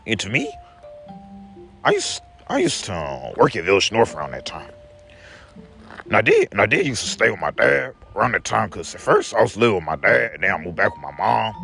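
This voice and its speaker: A man's voice: on the low side, creaky, sharp tone. A young man talking casually to his viewers in a rambling vlog monologue, repeating each phrase back to himself in the second person. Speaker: vlogger.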